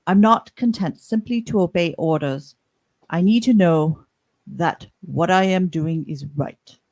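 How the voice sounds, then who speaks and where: A woman playing a grave, principled male starship captain in an amateur podcast radio play, speaking deliberately and earnestly. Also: lowish voice, a little mellow. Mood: morally resolute.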